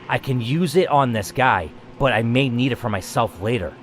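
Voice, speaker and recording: A man's voice: medium pitch, animated, moderate pace, a little hiss or hum. A man reading a first-person game story aloud as the player, in a dramatic narrating style.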